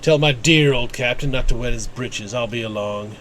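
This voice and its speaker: A man's voice: medium pitch, moderate pace, fairly bright tone, a little hiss or hum, close to an everyday voice. A man voicing a surly, insolent rogue in a fantasy game, scornful and dismissive.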